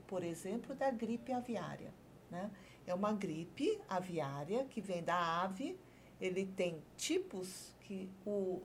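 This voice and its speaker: A woman doctor, a guest on a TV health talk show, explaining calmly and pausing to search for her words. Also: mid-range voice, slight background noise. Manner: measured, searching for words, many fillers.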